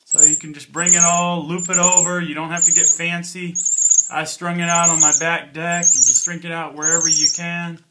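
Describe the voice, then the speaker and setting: A man's voice: fairly high pitch, flat delivery, moderate pace, very noisy. A man giving a casual hands-on product review in an informal, plainspoken, folksy way. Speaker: product reviewer, channel host.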